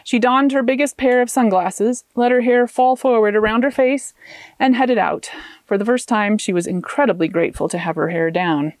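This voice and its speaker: A woman author reading her own fiction aloud as an expressive audiobook narrator. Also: on the high side, normal speed, dry recording.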